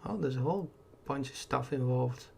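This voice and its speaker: A man's voice: mid-range voice, normal speed, gravelly, mellow, a little hiss or hum. A man casually commenting as he plays a video game, rambling and hesitant, often stopping to correct himself. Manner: rambling, hesitant, self-deprecating. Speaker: gaming YouTuber. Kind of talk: casual let's play commentary. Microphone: desktop mic over game audio. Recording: game music playing behind voice.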